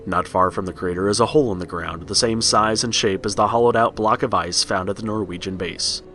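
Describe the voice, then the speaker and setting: A man's voice: fairly low pitch, a little fast, a bit bright. A man narrating a horror tale as a scripted read-along storybook. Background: music.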